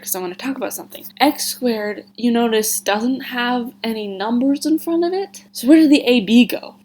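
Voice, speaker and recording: A woman's voice: mid-range voice, darkish tone, slight background noise, low-quality recording. A congested young woman teaching a casual math lesson, chatty and full of "like" and "right?". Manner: chatty, filler-heavy explaining with frequent "like" and "right?". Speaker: math tutor.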